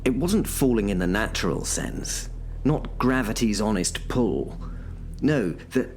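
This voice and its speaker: A man narrating a horror audio drama in the first person, brooding and dramatic. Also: mid-range voice.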